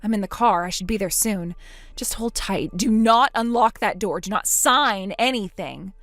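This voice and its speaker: A woman's voice: normal speed, no room sound, faint music behind, close to an everyday voice. A woman voicing a determined older sister who speaks firmly and steadily. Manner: firm, steady, lower-voiced.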